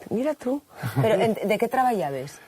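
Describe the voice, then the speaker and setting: A woman's voice: fairly high pitch, breathy edge, no echo. A woman, a reporter chatting curiously and jokingly with villagers outdoors. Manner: lively, curious, quick to joke. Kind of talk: casual interview.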